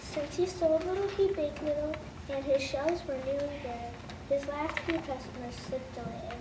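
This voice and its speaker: A child of about eight or nine narrating a story from a script, reading haltingly. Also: sits high, steady tone, far from the mic. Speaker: narrator in a school readers' theater. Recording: echoey, noisy room from afar.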